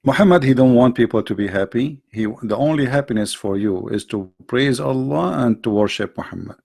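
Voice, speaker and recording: Middle-aged male stream host, mid pitch with a gravelly fry, lecturing emphatically in non-native English. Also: brisk, darkish tone. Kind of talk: religious commentary on a live stream.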